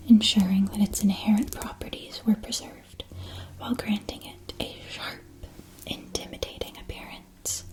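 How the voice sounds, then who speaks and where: A woman performing a scripted ASMR fantasy roleplay as a wand seller, speaking softly and deliberately with formal shopkeeper patter. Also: medium pitch, poor audio.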